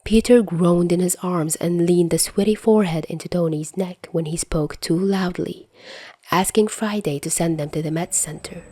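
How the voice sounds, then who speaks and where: A woman's voice: lowish voice, moderate pace, dark tone, no room sound. A woman reading fan fiction aloud, telling the story expressively into a close home mic. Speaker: podfic reader.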